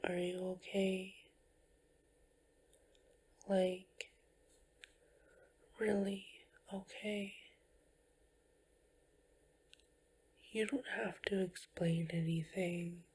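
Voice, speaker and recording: A tender girlfriend voiced by a woman soothes her partner in an ASMR roleplay. Her voice is slightly low and husky-soft, often near a whisper. She takes her time, speaking very slowly with gentle, reassuring care. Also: level tone, exaggerated voice. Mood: tender concern. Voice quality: breathy, often slipping into whispers. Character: tender, caring girlfriend comforting a tired partner.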